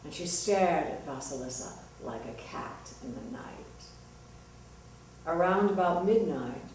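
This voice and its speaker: A woman storyteller narrating a folktale dramatically, with suspenseful, deliberate phrasing. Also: mid-range voice, darkish tone. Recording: very echoey room, distant mic.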